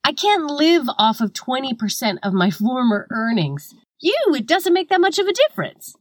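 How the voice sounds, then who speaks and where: Higher-pitched, scathing, sweary woman comedian, acted. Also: sing-song, normal speed, no echo, clean studio sound. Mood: scornful. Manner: sarcastic, cutting, sweary. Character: scathing, foul-mouthed headliner comedian needling a colleague. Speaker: guest comedian in a scripted satire sketch. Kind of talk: scripted comic argument.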